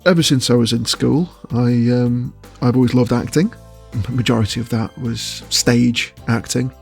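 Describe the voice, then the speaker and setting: Gravel-edged man, thoughtful and chuckly. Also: mid-range voice, fairly even intonation, moderate pace, soft background music. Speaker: voice actor being interviewed. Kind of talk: casual interview answers.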